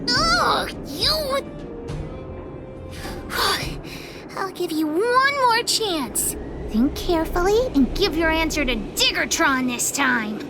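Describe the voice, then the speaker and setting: Video game little girl: very high, unhurried, bossy, boastful junior leader. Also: big pitch swings, music in the background, exaggerated voice. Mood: frustrated. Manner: self-important, childishly grandiose.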